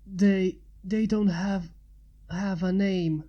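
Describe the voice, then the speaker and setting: Woman doing weary injured man, fantasy storybook figure, polite, weak, hesitant, mellow voice. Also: mid-range voice, fairly even intonation, lo-fi sound. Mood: pained, fading. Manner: weak, halting, courteous. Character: weary wounded man, polite stranger mage.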